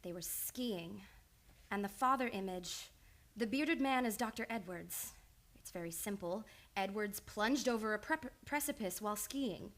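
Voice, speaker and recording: A woman's voice: medium pitch, animated, measured pace, fairly bright tone. A woman playing an earnest, insistent psychoanalyst in old time radio drama, urgent and probing.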